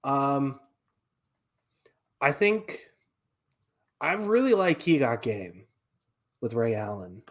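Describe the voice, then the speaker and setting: A man in his twenties co-hosting a casual podcast, giving relaxed, dry opinions. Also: medium pitch, takes it slowly, pauses often. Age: 20s. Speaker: podcast co-host.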